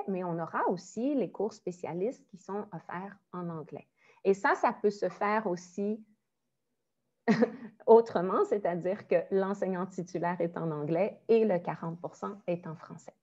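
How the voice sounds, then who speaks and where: A woman's voice: medium pitch, animated, unhurried, mellow, highs cut off. A woman in her forties from Quebec, with a Quebec French accent, giving a friendly, explanatory online presentation as a primary school teacher. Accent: Quebec French with some Belgian French. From Quebec, Canada. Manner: friendly, explanatory, with frequent "euh" and small laughs.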